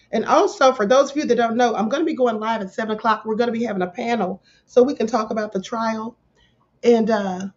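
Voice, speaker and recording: A woman hosting a casual livestream, a little hoarse from a sore throat, greeting viewers in the chat by name. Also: a little high, a little mellow, highs cut off. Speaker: livestream host.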